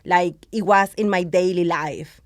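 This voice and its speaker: A woman's voice: fairly low pitch, measured pace, no echo. Passionate woman guest, dry sound. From Argentina. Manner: passionate, switches into Spanish. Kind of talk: podcast conversation.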